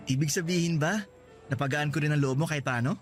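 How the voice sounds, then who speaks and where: A man's voice: a little high, quick pace, faint music behind, natural voice. An actor playing a cheerful, joking young male coworker in a radio drama, teasing and boasting playfully. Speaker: Lester.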